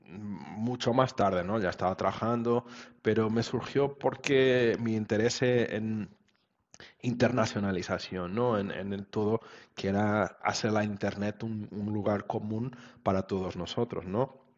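Low-voiced man, lo-fi sound. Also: steady tone, measured pace. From Portugal. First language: Portuguese. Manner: thoughtful, many restarts and tag questions. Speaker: guest, web standards expert. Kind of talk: casual interview answers.

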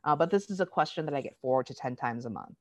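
Low-voiced woman telling stories animatedly. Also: quick pace, no room sound. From United States. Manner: animated storytelling, acts out quoted dialogue. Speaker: diversity educator. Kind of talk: conversational interview.

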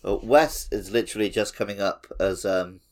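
A man with a very low, creaky voice talks in a loose, conversational way, like a podcast co-host. His delivery is informal, and the low register often settles into vocal fry. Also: steady tone, normal speed, a little mellow. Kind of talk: casual two-man video game discussion.

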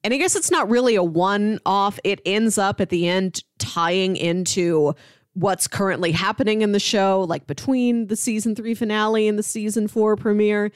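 A woman's voice: medium pitch, normal speed, dry recording. A woman with a mid-range voice co-hosts a podcast, talking through recommendations conversationally. The sound is very dry, with hardly any room around her casual delivery. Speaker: podcast co-host.

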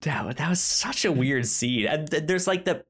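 Animated, stammering man chatting casually. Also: on the high side. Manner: halting, stammering, repeating words. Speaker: podcast co-host. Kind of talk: casual film review chat.

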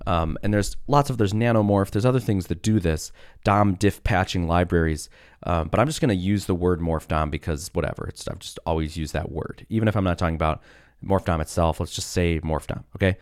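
A man hosting a casual solo podcast, sick with a cough that has deepened his voice, rambling with many fillers and restarts. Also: fairly quick, darkish tone, clear, resonant tone, no room sound, clean studio sound. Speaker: podcast host.

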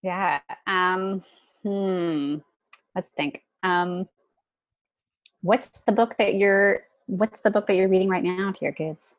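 A woman's voice: mid-range voice, fairly even intonation, slow, no echo. A woman with a Southern US accent, chatting casually as an interview guest. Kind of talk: casual chat.

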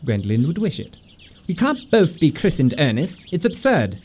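An actor playing an exasperated, earnest young English gentleman in a comic radio play, speaking in a huffy, emphatic, mannered way. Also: fairly high pitch, very animated, normal speed, slightly rough, full character voice. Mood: stubborn, argumentative.

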